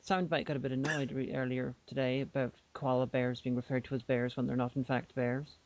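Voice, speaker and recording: A woman's voice: extremely low pitch, level tone, a little fast, a little mellow, a little hiss or hum. A woman co-hosting a podcast, playful and rambling, often restarting her sentences in casual chat. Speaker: podcast co-host. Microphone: remote call microphone. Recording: dull lo-fi online call audio.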